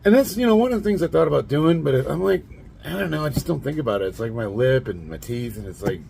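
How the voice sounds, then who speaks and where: A man in his late forties with a raspy, nasal, stuffed-up voice, rambling bluntly and laughing often as he talks to camera. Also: fairly high pitch, lively intonation, fast, warm tone, a little hiss or hum, low-quality recording. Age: 40s. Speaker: fitness vlogger. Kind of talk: casual monologue to camera.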